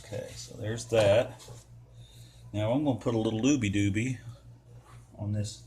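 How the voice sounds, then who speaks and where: A man's voice: lowish voice, fairly even intonation, moderate pace, mellow. An American man giving a casual, hands-on how-to in a folksy, easygoing, rambling way with playful wording. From United States. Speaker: DIY car repair vlogger.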